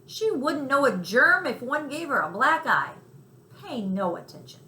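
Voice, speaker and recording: Woman voicing a chatty, brash, high-pitched city mouse. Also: big pitch swings, measured pace, pushed and bright, full character voice. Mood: scornful. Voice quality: squeaky. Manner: talkative, scornful of snobs, city slang. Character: Tucker, chatty streetwise male city mouse, brash and friendly. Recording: roomy echo, faint background noise.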